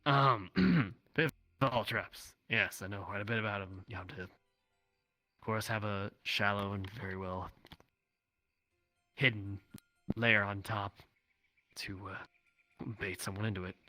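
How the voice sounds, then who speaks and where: Trapper for hire in a roleplay game, male player: analytical, forceful lowish voice, close and dry, faint music. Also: fairly even intonation, takes it slowly, pauses often, no room sound. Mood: pragmatic, pondering. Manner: analytical, thinks aloud with hedged reasoning. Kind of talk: improvised in-character roleplay in a social VR game.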